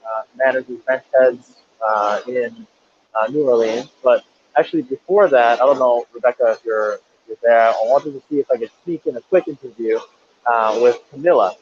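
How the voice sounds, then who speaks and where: A man's voice: mid-range voice, normal speed. A young man hosts a livestream in a loose, rambling way, full of ums and restarts. His voice comes through a dull, lo-fi connection with a faint hiss underneath. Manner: rambling, many fillers and restarts. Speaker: livestream host. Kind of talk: casual livestream hosting. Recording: lo-fi livestream audio with faint noise.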